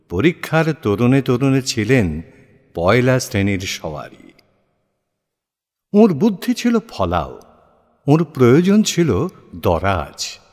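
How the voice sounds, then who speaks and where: Slow, highly expressive male storyteller, reverberant. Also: husky. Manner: measured, expressive, deliberate storytelling with pauses. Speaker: narrator of an audio story. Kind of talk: dramatized literary narration in an audio play. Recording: long hall-like reverb.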